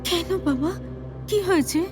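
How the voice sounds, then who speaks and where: A woman's voice: high voice, unhurried, darkish tone, soft background music. A woman playing a sweet, devoted young princess in a fairy-tale audio drama, tender and girlish. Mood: worried.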